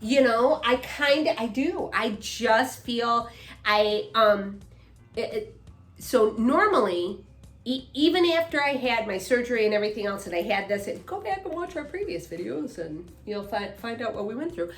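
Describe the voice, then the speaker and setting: A woman's voice: fairly high pitch, animated, moderate pace. A woman in her fifties co-hosting a casual chat, rambling with many restarts and fillers. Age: 50s. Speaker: co-host, mother. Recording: echoey room, faint music.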